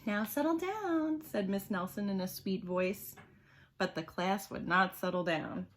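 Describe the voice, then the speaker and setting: A woman reads a children's storybook aloud with an animated, emphatic delivery and a slightly husky voice. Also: on the low side, a little slow, slight background noise. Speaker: storybook reader.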